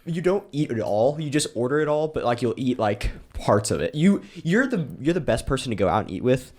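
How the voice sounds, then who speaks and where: A man's voice: medium pitch, fairly quick. A young man co-hosting a casual podcast, joking and laughing as he swaps food stories with friends. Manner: laughing, joking, animated storytelling. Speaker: podcast co-host. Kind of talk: casual chat among friends.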